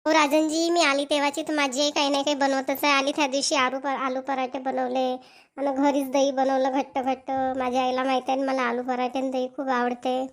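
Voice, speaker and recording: A woman's voice: sits high, monotone, a little fast. A woman voicing a chatty village wife in a family cartoon, with a Varhadi Marathi accent and a plain, homely manner. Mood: happy, chatty. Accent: Varhadi Marathi, Vidarbha.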